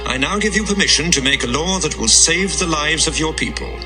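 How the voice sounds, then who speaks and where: A man's voice: a little high, animated, a little fast, over music. An actor playing a regal, authoritative king in a dramatised audio Bible. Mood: commanding. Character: commanding king, generous yet quick to anger.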